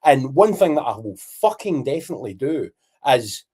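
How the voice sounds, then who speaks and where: A man's voice: medium pitch, sing-song, moderate pace, mellow, husky. A Scottish man co-hosting a podcast, rambling candidly in casual chat, swearing freely and dropping Scots words. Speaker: podcast co-host.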